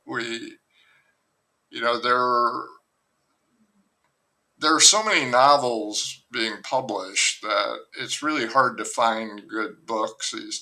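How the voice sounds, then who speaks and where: A novelist talking in a relaxed interview, hesitant and rambling, with many fillers and restarts. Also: male voice, mid-range voice, poor audio. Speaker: novelist guest. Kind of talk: casual interview conversation.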